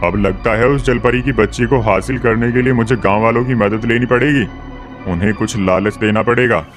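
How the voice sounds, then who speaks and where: A man's voice: mid-range voice, fairly even intonation, a little fast, faint music behind, close to an everyday voice. Greedy, scheming cartoon sorcerer played by a man. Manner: declamatory, persuasive. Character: greedy scheming male tantric sorcerer rallying villagers. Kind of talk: Hindi cartoon story dubbing.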